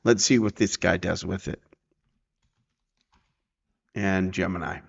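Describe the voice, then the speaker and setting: A man presenting a software tutorial casually, thinking aloud in a halting, conversational way with frequent check-in questions. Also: sits low, fairly even intonation, vocal fry, husky. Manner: halting, conversational, often checking with "right?" and "okay?". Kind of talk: casual screen-recording walkthrough, thinking aloud. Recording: dull, muffled lo-fi sound.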